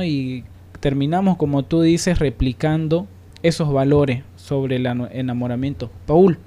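A man's voice: a little high, dark tone. A man hosting a panel discussion, speaking reflectively with emphatic repetition as he searches for words. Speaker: radio programme host.

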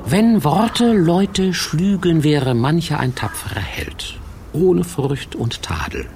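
Male radio-play narrator: folksy storyteller, lively swings in pitch, gravelly edge. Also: takes it slowly, a little hiss or hum. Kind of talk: scripted fairy-tale narration for radio drama.

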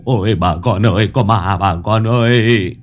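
A man's voice: low-pitched, very animated, measured pace, bright, forceful tone, husky, faint music behind, heavily acted. A man doing a stammering, terrified old man. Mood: panicked. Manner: stammering, begging.

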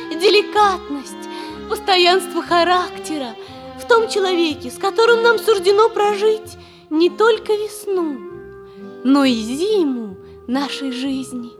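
An actress playing an earnest, sensible young lady in a 1960s radio comedy, genteel and sweetly insistent in a theatrical way. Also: very high-pitched. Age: young adult. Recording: 1960s radio play, faint music.

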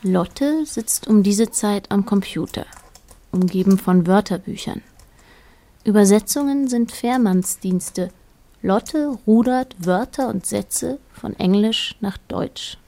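A woman narrating a literary radio play in a measured, precise manner. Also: medium pitch, mellow.

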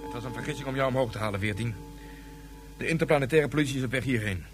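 An actor playing a stern colonel of an extremist group in a science-fiction radio play, formal, commanding and coldly deliberate. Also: male voice, mid-range voice, music in the background, natural voice. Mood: grim resolve. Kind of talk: Dutch science-fiction radio drama acting. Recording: noisy radio play recording with hum.

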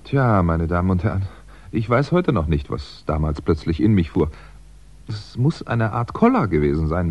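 Wry writer-narrator, reflective, conversational, very low husky voice, vintage radio storytelling, dull sound. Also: male voice, darkish tone, full character voice. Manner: reflective, conversational, self-mocking. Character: wry crime writer narrating his own escape. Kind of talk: first-person storytelling in 1960s German radio drama.

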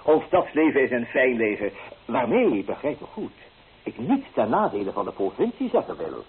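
A man's voice: mid-range voice, very animated, normal speed, a little hiss or hum. An actor playing an exuberant, teasing young man from the city in a Dutch radio drama. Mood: enthusiastic. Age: young adult. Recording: muffled, tinny old radio recording.

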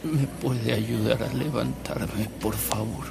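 An actor playing a devout, earnest older man in a Mexican radio drama, speaking solemnly and deliberately with dramatic pauses. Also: medium pitch, vocal fry, heavy background noise. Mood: frail, courteous. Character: frail, devout older man, a converted skeptic, reverent and earnest.

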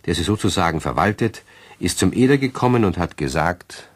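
A man's voice: low voice, animated, a little fast, slightly rough, dry recording. A man narrating a children's radio play in scripted storytelling style.

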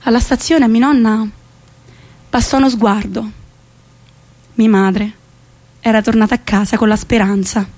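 A young woman in a stage play, high voice, takes her time, thoughtful and defiant. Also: steady tone, measured pace, long pauses, a little mellow. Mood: reflective. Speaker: stage actress. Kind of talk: theatrical monologue with acted dialogue.

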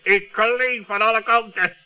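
Stuffy wise raven, high clear voice, indignant and telling off, old dull radio recording. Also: male voice, steady tone, unhurried, soft background music. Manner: haughty, indignant, admonishing. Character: dignified, wise, reproachful male raven. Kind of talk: 1960s children's radio play acting.

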